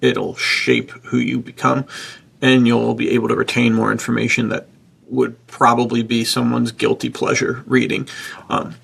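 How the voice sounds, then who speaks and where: A man with a heavily creaky, husky voice talks as a podcast host. He chats casually and speaks with effusive enthusiasm, his delivery full of gravel and fry. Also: medium pitch, level tone, normal speed, a bit bright.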